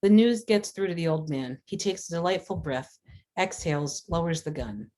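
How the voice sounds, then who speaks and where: A woman reading scripted stage directions as a narrator, in a measured, descriptive manner. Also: on the low side, lively intonation, warm tone.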